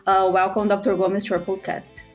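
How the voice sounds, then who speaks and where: A woman's voice: mid-range voice, little pitch movement, a little fast, soft background music. A woman hosting an interview podcast in a conversational style. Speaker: podcast host.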